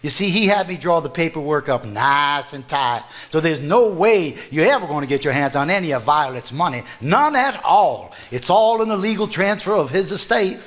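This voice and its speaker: Small-town Southern attorney: slightly high voice, courtly, smug, impossible to rattle. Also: male voice, lively intonation, normal speed, few pauses, fairly bright tone. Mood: firm, satisfied. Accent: Southern American. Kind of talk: live stage play acting.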